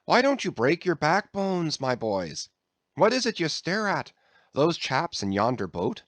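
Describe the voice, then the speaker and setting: Brief: male reader plays a cajoling, teasing mate urging rowers, pitched well above his own voice. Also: sing-song, a little slow, no echo. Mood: coaxing. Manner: drawling, soothing cajoling mixed with mock scolding. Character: easygoing male whaling mate, coaxing and jocular.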